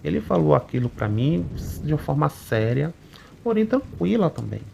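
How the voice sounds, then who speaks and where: A man narrating a first-person supernatural account in a conversational, unhurried way, often adding little tag questions. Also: on the high side, big pitch swings, creaky, noisy background. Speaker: channel narrator reading a listener's account. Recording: muffled recording with faint rain behind.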